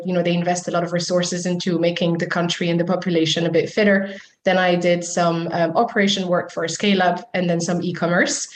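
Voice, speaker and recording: A woman in her thirties from Switzerland, a student guest talking about her background with frequent ums. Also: lowish voice. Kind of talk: casual interview answers. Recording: roomy echo with faint noise.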